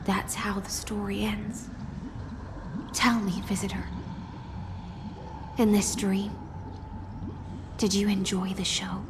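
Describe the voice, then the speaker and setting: A woman voicing a poised, world-weary storyteller in a video game, theatrical and measured, with hushed phrase endings, over music. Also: medium pitch, level tone, noisy background, lightly acted. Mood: wistful, teasing. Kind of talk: dramatic video game narration and monologue.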